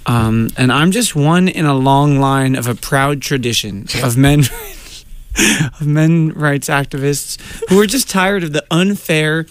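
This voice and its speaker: A man in an improv comedy bit, talking hesitantly with pauses and laughs. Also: fairly high pitch, smooth.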